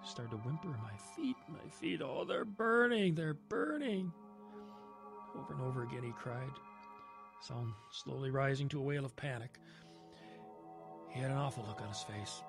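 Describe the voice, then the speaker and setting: Music plays behind a haunted male survivor of the wilderness. He recounts his terror in a mid-pitched voice, halting and then swelling dramatically, in the manner of an audio horror drama. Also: sing-song, vocal fry. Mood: fearful, agitated.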